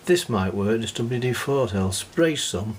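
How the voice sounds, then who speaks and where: A man's voice: mid-range voice, expressive, unhurried, a little hiss or hum. A man casually narrating as he cleans parts of an old tape deck, muttering an easygoing running commentary. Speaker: hobbyist repairing a reel-to-reel deck.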